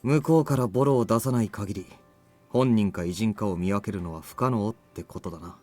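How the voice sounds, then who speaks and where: A man's voice: fairly low pitch, very fast, warm tone, faint music behind, lightly acted. A man playing a blunt, analytical male teammate in a Japanese visual novel, summing up matter-of-factly. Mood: blunt, serious.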